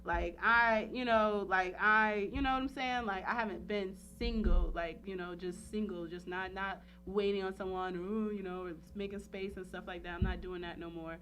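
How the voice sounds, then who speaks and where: A woman in her thirties chatting casually and candidly on a talk show, animated and rambling with frequent filler phrases. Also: mid-range voice, normal speed, hardly any pauses. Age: 30s. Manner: rambling, animated, peppered with "like" and "you know".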